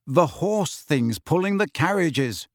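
A man acts out an earnest teenage boy in a storybook. He is impatient and puzzled, halting over his words, with a very lively pitch. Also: no echo, clean studio sound, exaggerated voice. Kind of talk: audiobook character acting.

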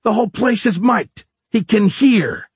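A weary old Southern shopkeeper, pitched well up and drawn out slowly. He sounds unhurried, fatherly and disappointed, in a dry audiobook recording. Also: very animated, fairly bright tone, breathy edge, dry recording, heavily acted. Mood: dry, unimpressed. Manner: slow drawl, disappointed fatherly tone.

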